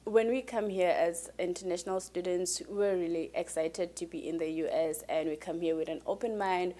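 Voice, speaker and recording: Low-voiced earnest woman student. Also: fairly even intonation, normal speed, warm tone. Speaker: international student interviewee. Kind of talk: interview answers.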